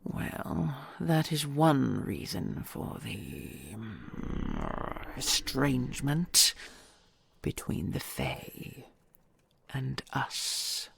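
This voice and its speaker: Woman voicing a husky, creaky, whispering ancient dragon queen. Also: rumbling low, huge pitch swings, no room sound, full character voice. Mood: wistful, regretful. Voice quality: husky, breathy, half-whispered with gravelly creak. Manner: stately, measured, formal and intimate endearments. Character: ancient, tender, regal female dragon queen, devoted and wistful. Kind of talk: intimate audio roleplay monologue.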